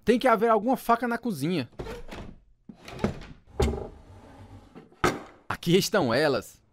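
A man's voice: high voice, very animated, quick pace, background noise, pitched above the performer's own voice. An actor playing a hot-headed, defensive teenage boy in a radio drama. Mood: urgent, excited.

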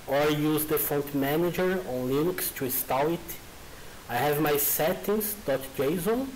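Brazilian man with halting English. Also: mid-range voice, slight background noise, rough recording. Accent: Brazilian Portuguese. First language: Portuguese. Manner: halting, informal, many uh fillers. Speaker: software developer. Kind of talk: screen-recorded coding tutorial.

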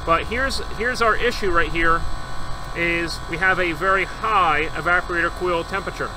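A man's voice: high-pitched, moderate pace, noisy background. A man working as an HVAC service technician, methodically explaining each reading step by step in a hands-on troubleshooting tutorial, recorded outdoors beside an AC condenser.

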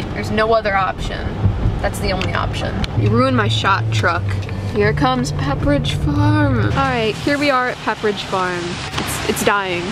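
A teenage girl vlogging with a handheld camera, talking in a deadpan, mock-tragic, rambling way with many "you know"s. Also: mid-range voice. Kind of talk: mock-dramatic vlog. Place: car interior, then a store. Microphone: handheld camera at arm's length. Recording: loud background noise from car and store.

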